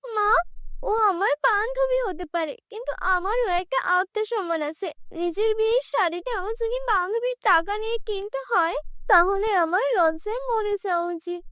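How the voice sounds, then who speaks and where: A woman acts out a poor young village bride in a fairy-tale cartoon. Her voice is extremely high and dry, plaintive yet stubborn and proud, with emphatic delivery. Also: animated, normal speed, fairly bright tone, no room sound. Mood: hurt, proud.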